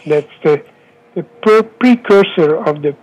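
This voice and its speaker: A man in his seventies with a Hungarian accent, pausing often with fillers as he answers interview questions over the phone. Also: a little high, expressive, darkish tone. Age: 70s. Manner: halting, many filler pauses. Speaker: interviewee, former Olympic fencer. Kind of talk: oral history interview. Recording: dull lo-fi phone line.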